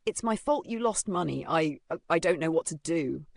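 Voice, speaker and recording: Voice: mid-range voice, lively intonation, moderate pace, creaky, no room sound. A narrator voicing a frightened, bullied teenage boy who stammers and pleads in a dramatized story. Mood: pleading, nervous.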